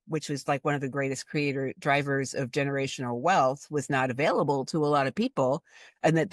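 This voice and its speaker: A woman in her fifties from Cleveland talks through ideas in a loose, conversational way. Her pitch jumps high on emphasized words. The recording is dry and close. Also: dry recording. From Cleveland, Ohio. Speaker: guest expert. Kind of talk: casual conversational discussion.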